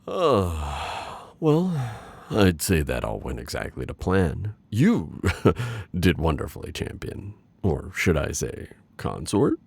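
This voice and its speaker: A man playing a gloating, lovestruck dark warlord in an intimate roleplay audio, with theatrical, playful authority and lingering pauses. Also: low-pitched, creaky, husky, exaggerated voice. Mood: fond, playful.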